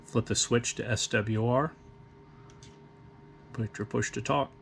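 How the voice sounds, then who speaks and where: A man's voice: mid-range voice, steady tone, slow, vocal fry, a little hiss or hum. A man giving a plain, methodical, step-by-step instructional demonstration in a matter-of-fact way. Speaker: host of radio equipment demonstration.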